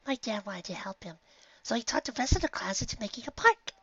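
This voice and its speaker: A reader voicing a shy, gentle young mare who speaks quietly and softly. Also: mid-range voice, lively intonation, quick pace, dry recording, muffled recording, voice pushed up. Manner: soft, quiet, timid.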